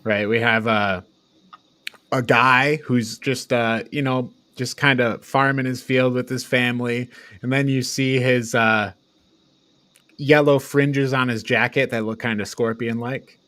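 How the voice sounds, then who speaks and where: A man with an average-pitched voice chats casually as a review co-host. He recaps film scenes loosely, pausing and piecing his sentences together as he talks. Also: fairly even intonation, sharp tone. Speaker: co-host of a movie review show.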